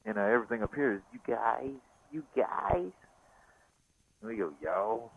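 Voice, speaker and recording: A man's voice: medium pitch, sing-song, normal speed, vocal fry, husky. A man from the American South with a Southern accent, chatting casually and telling stories while riding a motorcycle. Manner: rambling, storytelling. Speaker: vlogger. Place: outdoors on a motorcycle. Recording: tinny intercom with faint road noise.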